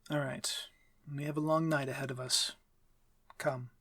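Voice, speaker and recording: A man playing a courteous, commanding vampire lord in an intimate ASMR roleplay, speaking formally in a measured, breathy, near-whispered voice. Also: mid-range voice, little pitch movement, very noisy, natural voice. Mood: calm, instructive. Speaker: audio roleplay voice actor.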